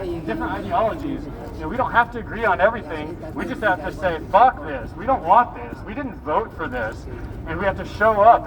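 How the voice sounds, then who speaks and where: A man giving an urgent, off-the-cuff speech to a protest crowd, exhorting them. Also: sits high. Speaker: protest speaker. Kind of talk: impromptu rally speech to a crowd. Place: outdoors on a city street. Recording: distant noisy outdoor recording.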